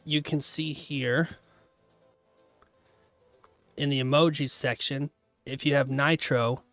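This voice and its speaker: A man's voice: mid-range voice, fairly even intonation, slow, a bit bright, no room sound, soft background music. A man hosting a live trading stream, chatting casually with his viewers, laughing often and rambling through asides. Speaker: trading livestream host.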